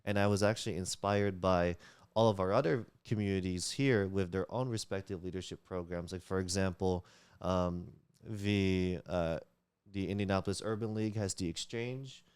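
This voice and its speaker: A man's voice: deep voice, steady tone, normal speed, a little mellow, clear, resonant tone, no echo, clean studio sound. A man, a Tagalog speaker, talking earnestly as a guest in a casual interview, with many fillers and restarts. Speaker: community leader, guest.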